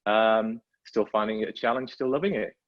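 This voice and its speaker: A man's voice: on the low side, normal speed, no echo, dull audio. A man in his fifties from North Wales, talking casually as a podcast guest, rambling with lots of fillers and restarts. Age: 50s. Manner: rambling, self-deprecating, many fillers and restarts. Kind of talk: casual conversational interview.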